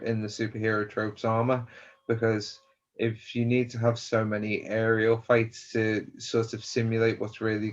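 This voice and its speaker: A man on a discussion panel making points in a tentative, roundabout, questioning way, heard on a lo-fi recording. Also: medium pitch. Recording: dull lo-fi sound.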